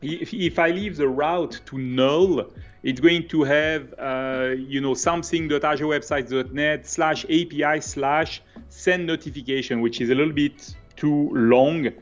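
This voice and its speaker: A man's voice: on the high side, measured pace, muffled recording, soft background music. Swiss French man explaining code live. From Switzerland. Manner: explains step by step, relaxed and conversational. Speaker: co-host, developer presenter. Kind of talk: live coding stream with commentary. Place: home office, indoors. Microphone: webcam video call.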